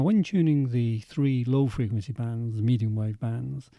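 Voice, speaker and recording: A man narrating an instructional equipment demonstration in a measured, methodical way. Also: medium pitch, warm tone, no room sound. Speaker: presenter demonstrating vintage radio equipment.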